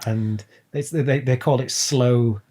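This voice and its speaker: Male interview guest, soft dark tone, middle pitch, thoughtful and articulate conversational delivery. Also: steady tone, takes it slowly. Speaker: podcast guest, audio drama writer. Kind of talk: casual, reflective interview chat.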